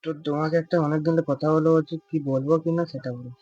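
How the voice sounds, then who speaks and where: A man's voice: on the high side, steady tone, racing, warm tone, highs cut off. A young man making a casual prank call, teasing and evasive as he repeats himself. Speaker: prank caller and video creator. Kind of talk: casual prank phone chat.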